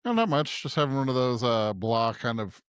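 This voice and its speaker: An American man co-hosting a podcast as a pastor, chatting casually in a halting, conversational way. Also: mid-range voice, big pitch swings, clean tone, no echo, studio quality.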